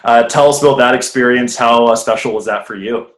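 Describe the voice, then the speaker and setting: A man's voice: medium pitch, steady tone, fairly quick. A man hosting a podcast, asking interview questions in a friendly way and dropping in short affirmations. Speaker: podcast host. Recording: echoey room.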